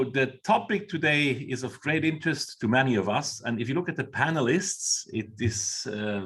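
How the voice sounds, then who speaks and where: A man with a Swiss accent hosting a panel webinar over a video call, speaking in a formal, measured way with some fillers. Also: medium pitch, fairly bright tone, breathy edge. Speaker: webinar host. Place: Doha.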